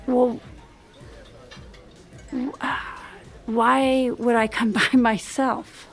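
A hurt wife in an audio drama, unsure of where she stands. Her voice sits a little high and she speaks slowly, with halting hesitations. The delivery is naturalistic, with light music behind. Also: level tone. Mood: guarded, surprised. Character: hurt, bewildered wife facing separation.

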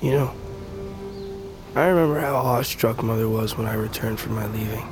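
An actor playing a gravely wounded, fatalistic blind tribesman in an audio drama, speaking weakly and haltingly as he reflects. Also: lowish voice, close to an everyday voice. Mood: bitter reminiscence. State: wounded, weak, halting breath. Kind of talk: audio fiction drama acting. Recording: music bed under the dialogue.

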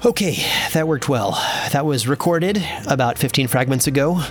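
A man's voice: mid-range voice, lively intonation, normal speed, close to an everyday voice. A man playing an earnest, slightly awkward inventor in an audio drama, chatty and hesitant in a naturalistic way. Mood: focused, self-noting. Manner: hesitant, rambling, friendly.

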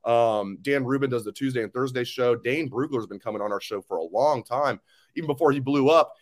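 Man, podcast host, fast talker, rambling, jokey, self-correcting. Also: medium pitch. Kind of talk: casual interview.

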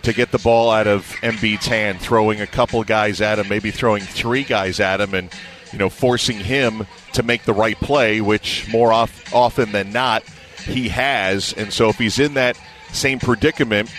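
A man's voice: on the low side, steady tone, moderate pace, a bit bright, soft background music. A man working as a play-by-play announcer, giving conversational analysis in a sports radio interview.

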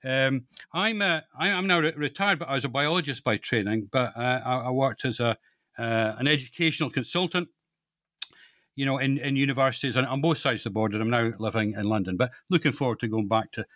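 A man's voice: medium pitch, fairly bright tone, dry recording. Older Scottish man, author guest, hesitant and self-correcting, dry close sound. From Scotland. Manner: halting, many restarts and repeated words. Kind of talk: conversational interview.

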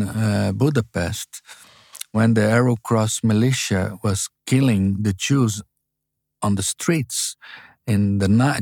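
An older man with a Brazilian accent, a guest author speaking haltingly and searching for words in an interview. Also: on the low side, a little mellow, no echo, clean studio sound. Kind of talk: interview conversation.

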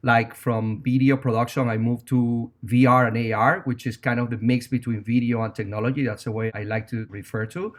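A man's voice: medium pitch, little pitch movement, a little fast, few pauses. A man from Venezuela with a Venezuelan Spanish accent, a native Spanish speaker, talking earnestly and reflectively as an interview guest.

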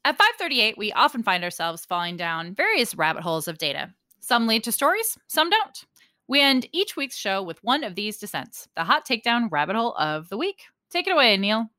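Bright, animated woman hosting. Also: fairly high pitch, a little fast, no room sound, clean studio sound. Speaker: sports podcast host. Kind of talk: casual sports chat.

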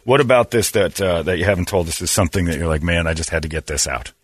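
A male interviewer with a low voice talks quickly and casually. The sound is a little rough and husky, as a radio host in a studio. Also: no room sound.

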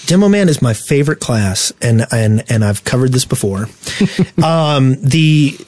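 A man's voice: medium pitch, expressive, measured pace, darkish tone, no room sound. Guy on a gaming podcast walking thru info, chatty asides, close dry sound. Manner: explanatory, reading out facts and adding asides. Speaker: podcast co-host. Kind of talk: casual gaming podcast talk.

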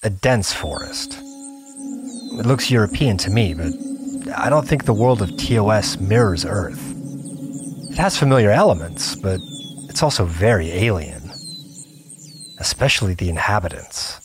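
A man's voice: lowish voice, expressive, moderate pace, pauses often, over music, lightly acted. A man playing an earnest, obsessive gamer in an audio drama, confiding his play session in short, broken-up phrases. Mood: absorbed, puzzled. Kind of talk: first-person scripted audio drama narration.

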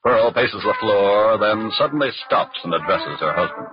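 An actor serving as a wry crime-story narrator with an American accent and dramatic, measured 1940s radio delivery. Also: male voice, lowish voice, expressive, lightly acted. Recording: dull vintage radio, faint music.

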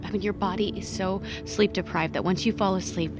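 An actress playing a calm, practical woman in an audio drama, speaking in a measured way. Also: medium pitch, natural voice. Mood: hesitant, practical. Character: calm, practical, sleep-deprived woman helping a friend. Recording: loud music bed behind.

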